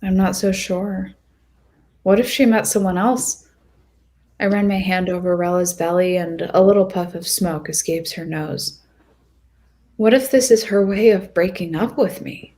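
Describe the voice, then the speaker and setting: A woman narrating a short story in the first person as a lovesick, insecure young woman, earnest and expressive. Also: medium pitch, measured pace, lightly acted. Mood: sad, insecure.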